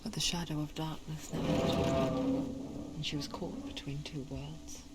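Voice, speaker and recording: A woman playing an ominous narrator of a tormented tale in a video game, hushed and whispery. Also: low-pitched, fairly even intonation, normal speed, loud music behind the voice, close to an everyday voice.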